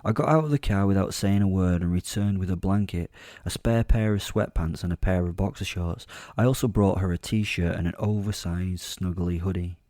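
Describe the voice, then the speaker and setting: Low, mellow male horror storyteller. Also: moderate pace, few pauses, no room sound, studio quality. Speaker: narrator and voice actor. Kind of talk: first-person audio drama narration, horror fiction.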